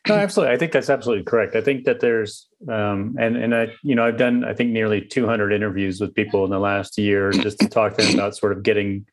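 A man hosting a career podcast interview, affirming his guest and then musing at length. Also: fairly low pitch. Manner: rambling, quick affirmations. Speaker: podcast host.

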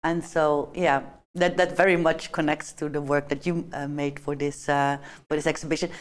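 A woman's voice: sits low, little pitch movement, moderate pace. A woman moderating a panel talk, asking questions in a hesitant way.

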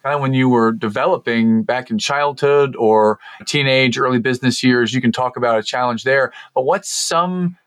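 A man's voice: mid-range voice, moderate pace, few pauses, a bit bright, smooth, no room sound. A man hosting a podcast, drawing out his guest with long, rambling, encouraging questions. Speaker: podcast host. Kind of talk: casual podcast interview.

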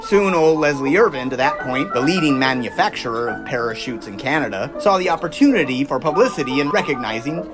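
A man's voice: medium pitch, animated, fairly quick, few pauses, over music, natural voice. A man playing a confident, folksy 1920s test pilot telling of flying in an audio drama. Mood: breezy, proud. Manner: folksy, assured storytelling. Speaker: pilot character. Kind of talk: scripted audio drama dialogue.

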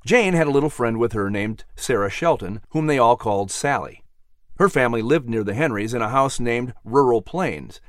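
A man with a medium-pitched voice narrates a children's story as its announcer. His delivery is theatrical and expressive, with lively rises and falls. The recording is close and very dry. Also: dry recording.

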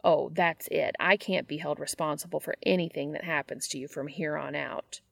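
Woman voicing a blunt, exasperated older brother, creaky voice. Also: on the high side, steady tone, moderate pace, fairly bright tone, dry recording, studio quality. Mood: mock-threatening. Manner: sweary, blunt, sardonic. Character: gruff, exasperated older brother, sarcastic but soft-hearted.

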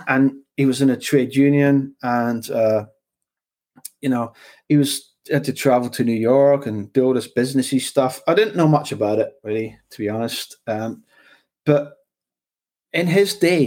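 A man's voice: mid-range voice, moderate pace, a little mellow. A man with a Scottish accent who talks loosely in a casual conversation as the host, often asking "do you know what I mean".

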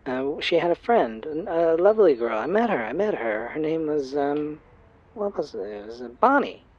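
A woman's voice: sits low, big pitch swings, normal speed, muffled recording. A woman playing a proud, trusting middle-aged mother in a tabletop audio drama, chatting politely in a hesitant, rambling way. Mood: fond, rambling.

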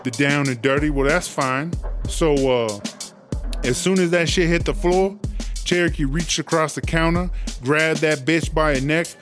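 A man's voice: on the high side, a little slow, fairly bright tone, faint music behind. A man voicing a brash, boastful female inmate boss in a comedy audio drama, telling rambling, slangy, profane stories. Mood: gossipy, emphatic.

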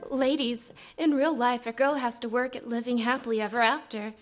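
An actress playing a perky advertiser giving old-fashioned beauty advice to ladies in a radio drama. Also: female voice, fairly high pitch, fairly even intonation, fairly quick, over music, natural voice. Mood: salesy.

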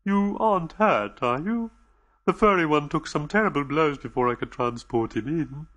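Man putting on a jittery soft-spoken alien, higher voice, odd breathy timbre, storybook reading. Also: expressive, moderate pace. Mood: anxious. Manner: anxious, apologetic, hesitant. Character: nervous gentle male alien host.

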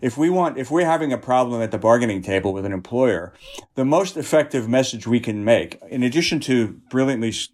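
A man speaking as an interviewed union representative, in an earnest, measured and explanatory conversational way. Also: mid-range voice, expressive, darkish tone.

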